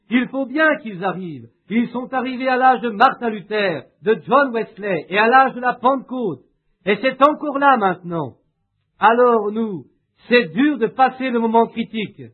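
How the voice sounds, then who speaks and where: A man reading a sermon aloud and leading a prayer in a measured, unhurried way with frequent pauses. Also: very high-pitched, animated. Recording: tinny, phone-like lo-fi recording.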